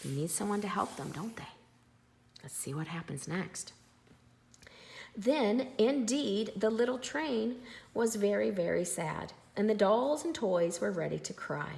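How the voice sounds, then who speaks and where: An older woman reading a children's story aloud as an expressive storyteller. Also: mid-range voice, a little slow, vocal fry, a little hiss or hum.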